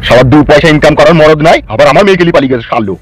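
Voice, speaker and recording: A man's voice: fairly high pitch, expressive, racing, very noisy, close to an everyday voice. A man voicing a furious, foul-mouthed middle-aged village father in a comic cartoon, ranting. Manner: hectoring, abusive rant. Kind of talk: comic Bengali cartoon dubbing.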